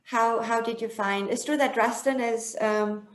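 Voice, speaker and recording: A woman hosting a webinar Q&A on a video call, talking in a halting way with many um fillers. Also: on the high side, steady tone. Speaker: webinar host. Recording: roomy video-call sound.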